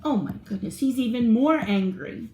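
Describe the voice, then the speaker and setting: A woman reading a picture book aloud to young children, animated and asking them questions. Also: on the high side, normal speed. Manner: animated, asks the listener questions. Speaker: children's storyteller. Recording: roomy echo.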